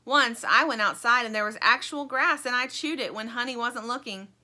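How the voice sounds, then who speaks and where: A woman's voice: on the high side, normal speed, sharp tone, voice pushed up. A woman voicing a dim, forgetful one-eared toy sheep in a storybook, rambling along chattily. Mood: chatty, wistful.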